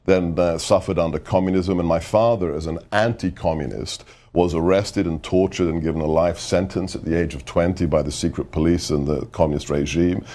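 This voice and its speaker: A man's voice: sits low, fairly even intonation, fairly quick, hardly any pauses, mellow. A middle-aged man from the United Kingdom, speaking as a guest in a televised political interview. He argues in an emphatic, insistent way and repeats phrases for effect. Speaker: guest, former government adviser.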